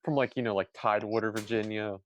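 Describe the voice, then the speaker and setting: A man's voice: lowish voice, fairly even intonation, fast, a little hiss or hum. A man with a Central Eastern Pennsylvania accent, rambling in a casual panel chat with lots of "like.". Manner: rambling, heavy use of "like".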